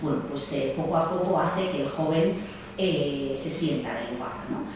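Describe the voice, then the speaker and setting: A sociologist talks at a seminar, pausing often and checking in with tag questions. Her voice sits low. She is recorded a distance in a very echoey room, with faint ambience behind her. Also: noisy background. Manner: hesitant, many fillers and tag questions. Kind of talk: seminar talk.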